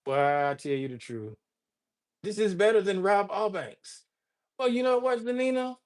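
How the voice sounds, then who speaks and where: High-voiced older man chatting on a livestream. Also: expressive, moderate pace, darkish tone. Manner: rambling, joking, addresses viewers by name. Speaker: livestream host. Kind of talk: casual livestream chat reading viewer comments.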